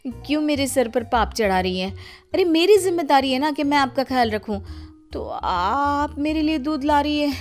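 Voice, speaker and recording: A woman's voice: high voice, lively intonation, moderate pace, faint music behind, voice pushed up. A woman voicing a naive, grateful young daughter-in-law in a story, gushing and eager. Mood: respectful fussing.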